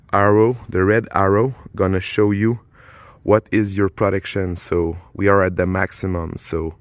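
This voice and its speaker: A middle-aged man with a French accent, a native French speaker, hosting a car repair channel and explaining a coolant change in a halting, casual way with many fillers. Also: low-pitched, dry recording. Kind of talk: casual how-to demonstration.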